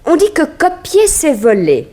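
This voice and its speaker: Polite, high-voiced lady reporter. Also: wildly swooping pitch, unhurried, mellow, heavily acted. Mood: curious, probing. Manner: attentive, polite, well-spoken. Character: polite, curious woman newspaper reporter in 1920s New York. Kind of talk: 1960s French radio drama acting.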